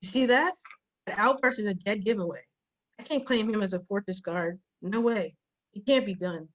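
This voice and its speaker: Casting: actress as pretentious arty orc, prim, fretful, medium pitch, fantasy comedy read. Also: female voice, normal speed, natural voice. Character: fussy, pretentious, easily frazzled arty orc.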